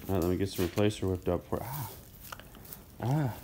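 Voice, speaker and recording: A man's voice: low-pitched, animated, brisk, mellow, slight background noise. A man in his thirties casually narrating his farm vlog, rambling and correcting himself as he goes. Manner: easygoing, rambling, self-correcting. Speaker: farmer vlogger. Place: in a truck cab and a barn.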